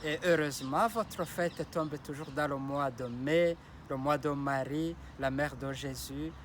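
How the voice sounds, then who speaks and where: Priest, male, Kinyarwanda or Kirundi as first language. Solemn homily delivery at a very slow pace, slightly high voice. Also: fairly bright tone, slight background noise. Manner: slow, solemn, repeats blessing phrases. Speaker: parish priest. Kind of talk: Sunday homily.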